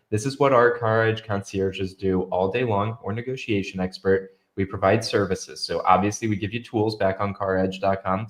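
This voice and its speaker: A young man with a stuffy, nasal voice, co-hosting a casual live stream and reading out viewer comments in an upbeat way. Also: sits low. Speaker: podcast co-host.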